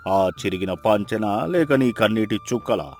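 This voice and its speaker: A man's voice: lowish voice, animated, normal speed, soft background music, close to an everyday voice. A man playing a mocking village moneylender with a hidden kind heart in a dramatized moral story, sarcastic, teasing and blunt.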